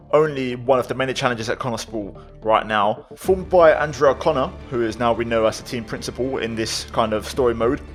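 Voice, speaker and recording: A man giving casual gameplay commentary as the host of a gaming video. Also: mid-range voice. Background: faint game music.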